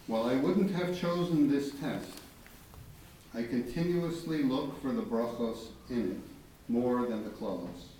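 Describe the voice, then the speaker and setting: Male eulogy reader, solemn and unhurried, heavily reverberant, picked up from a distance. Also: medium pitch, warm tone. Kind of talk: solemn read-aloud eulogy. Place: large reverberant room at a memorial gathering. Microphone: distant microphone. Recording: echoey, distant, faint background noise.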